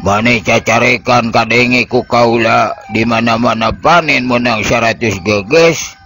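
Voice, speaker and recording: A man's voice: medium pitch, fairly even intonation, a little slow, pushed and bright, faint music behind. A man voicing a blunt, demanding male tiger in a spoken folktale.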